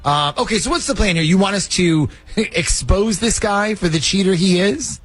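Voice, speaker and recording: A man hosting a radio call-in segment, talking in a lively, joking, conspiratorial way. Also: high-pitched, moderate pace. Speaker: radio show host. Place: radio studio.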